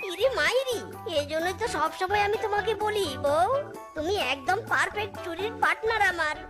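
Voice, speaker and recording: A male fox thief plotting with his wife in a cartoon. The voice is tiny and extremely high, exclamatory and full of sly glee. Clear children's music runs behind it. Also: animated, normal speed. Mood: gleeful. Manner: gleeful, exclamatory, conspiratorial. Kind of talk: animated children's cartoon dialogue.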